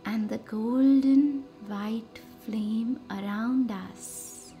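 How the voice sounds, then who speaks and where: A woman leads a guided meditation in short, soothing, measured phrases with reverent pauses. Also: on the high side, warm tone. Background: music.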